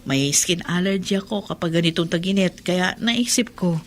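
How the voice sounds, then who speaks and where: A Filipino actress playing a gentle, forbearing middle-aged mother in a radio drama, soothing and pleading as she keeps the peace. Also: fairly low pitch, moderate pace, lightly acted. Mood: kindly, coaxing. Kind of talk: Tagalog radio drama acting. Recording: clearly noisy background ambience.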